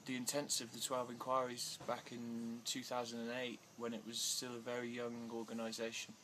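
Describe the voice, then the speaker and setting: Male interviewee, mid-pitched, halting delivery with restarts, noisy outdoor ambience. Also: monotone, sharp tone. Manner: hesitant, many fillers and restarts, growing agitated. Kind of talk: casual interview answers. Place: outdoors in India.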